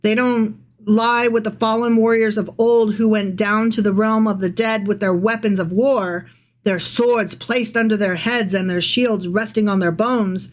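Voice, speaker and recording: A woman's voice: mid-range voice, steady tone, unhurried. A woman with a mid-range voice co-hosts a casual podcast discussing the Bible. She is blunt and irreverent in how she talks and swears freely. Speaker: podcast co-host. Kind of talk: casual Bible discussion with some reading aloud.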